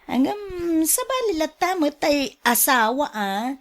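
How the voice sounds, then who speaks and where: An actress with an Ilocano accent playing a teasing, scheming, flirty younger woman in a radio drama, with playful, drawn-out delivery. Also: sits high, very animated, a bit bright, exaggerated voice. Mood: playful, flattering.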